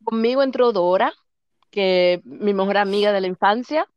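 Dominican woman, smooth and reminiscing. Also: mid-range voice, moderate pace, muffled recording. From Dominican Republic. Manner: rambling, repeats names, laughs often. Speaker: guest. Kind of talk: casual interview, reminiscing.

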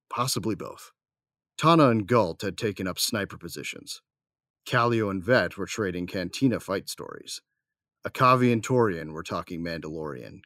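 A man's voice: on the low side, fairly bright tone, dry recording. A man playing a wry, affectionate armored warrior who narrates an audio drama with dry humor.

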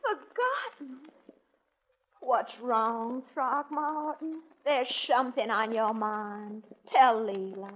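High-pitched, coquettish Southern belle. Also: female voice, expressive, fairly bright tone, full character voice. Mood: eager, coy. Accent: American South. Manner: gushing, coy, drawn-out pleading. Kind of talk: 1940s radio comedy acting. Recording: dull, noisy 1940s radio recording.

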